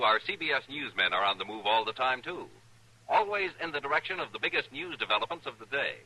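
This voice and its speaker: A man's voice: mid-range voice, pushed and bright, a little hiss or hum, poor audio. An American man reading a scripted 1950s radio promo as announcer, polished and emphatic. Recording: old-time radio recording.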